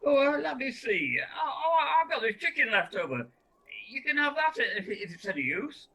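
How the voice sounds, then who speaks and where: A man's voice: extremely high pitch, very animated, moderate pace, pushed and bright, heavily acted. A man playing a flustered, kindly poultry seller in an amateur radio play, speaking hesitantly. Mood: flustered, generous. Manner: hesitant, stammering.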